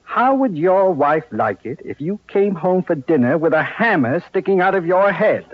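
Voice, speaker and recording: An actor playing an exasperated, gullible middle-aged homeowner in an old-time radio comedy, indignant and sputtering. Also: male voice, high voice, very animated, moderate pace, phone-quality sound, a little hiss or hum. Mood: menacing.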